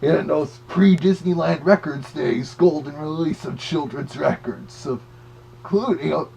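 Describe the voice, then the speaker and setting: A man's voice: on the high side, unhurried, gravelly, dark tone, a little hiss or hum. A man voicing a cartoon Big Bad Wolf who gives chatty, slightly hesitant commentary as a record reviewer. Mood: chatty, informative.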